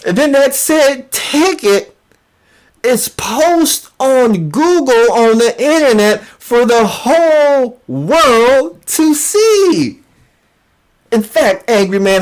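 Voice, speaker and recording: An online commentator delivering a mocking call-out, emphatic and incredulous, often repeating phrases for effect. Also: medium pitch. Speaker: YouTube commentator.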